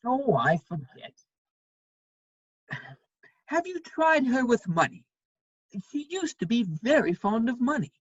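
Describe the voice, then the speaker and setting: A woman acts out a worldly gentleman friend in a stage drama, witty and steadfast. The voice is fairly high and husky, with measured, wry phrasing. The recording is dry and somewhat muffled. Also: sing-song, no room sound, heavily acted. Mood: flippant, wry. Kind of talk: dramatic reading of a stage play.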